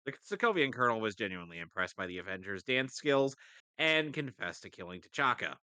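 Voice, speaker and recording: Bright, forceful lower-voiced man. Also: lively intonation, fairly quick, clear, resonant tone, dry recording. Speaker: livestream co-reader. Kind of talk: casual narrated read-aloud with asides.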